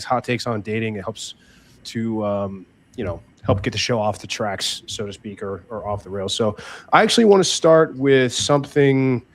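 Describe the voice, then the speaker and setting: A man hosting a live panel talk show in a loose, chatty way. He often stops and corrects himself as he goes. His pitch sits in an ordinary middle range for a man. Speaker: podcast host.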